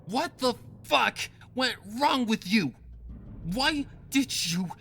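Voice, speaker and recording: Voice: mid-range voice, lively intonation, vocal fry, a bit bright, a little hiss or hum, pitched above the performer's own voice, full character voice. A performer voicing a wounded, defiant young female pony in a storybook reading, accusing with raw emotion. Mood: anguished rage. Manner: emotional, accusing, drawn-out outbursts.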